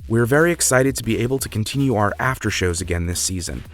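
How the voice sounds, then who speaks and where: A man's voice: lowish voice, lively intonation, fast. A man hosting a podcast, delivering a scripted introduction in a polished, earnest way. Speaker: podcast creator and host. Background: music.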